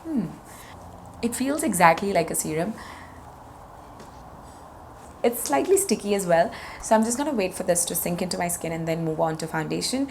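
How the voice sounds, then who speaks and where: Beauty vlogger, woman, 30s, hoarse; enthusiastic and chatty, slightly low voice with vocal fry. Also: expressive, fairly quick, darkish tone, slight background noise. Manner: chatty, enthusiastic, product-by-product narration. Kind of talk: casual makeup review.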